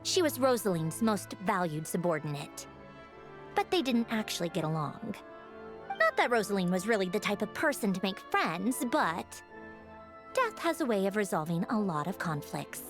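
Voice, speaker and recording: A woman voicing a composed, dry-witted female commander in an anime game, measured and knowing. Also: mid-range voice, big pitch swings, over music, natural voice. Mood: subdued, measured.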